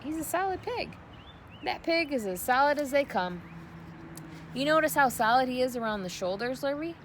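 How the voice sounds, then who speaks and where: A woman's voice: high voice, lively intonation, moderate pace, a bit bright, pitched above the performer's own voice. Storybook farmer, played by a woman: middle-aged man, proud, emphatic, self-important. Noisy recording.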